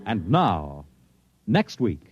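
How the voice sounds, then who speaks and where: A man's voice: on the low side, wildly swooping pitch, extremely slow, husky, no echo. A man with an American accent reading scripted credits as a formal, polished 1950s radio announcer. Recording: dull old radio broadcast, music behind.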